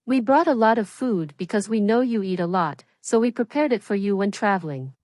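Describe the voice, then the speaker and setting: A woman's voice: mid-range voice, animated, a little fast, darkish tone, dry recording, natural voice. A woman playing a caring, nurturing mother in a children's cartoon moral story. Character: caring mother of a young boy.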